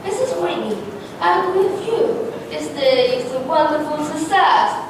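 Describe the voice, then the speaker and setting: High-voiced earnest schoolgirl read by a woman, very echoey. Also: lively intonation, unhurried. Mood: earnest, eager. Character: earnest schoolgirl with an anti-bullying plan. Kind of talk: readers' theatre, reading a script aloud. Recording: very echoey room, distant mic, noisy.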